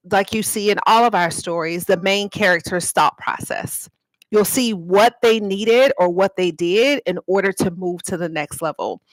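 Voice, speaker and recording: A woman's voice: mid-range voice, no echo, rough recording. A woman talking informally to livestream viewers as an author and host, explaining things and inviting them to respond. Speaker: author and host of a live read-aloud.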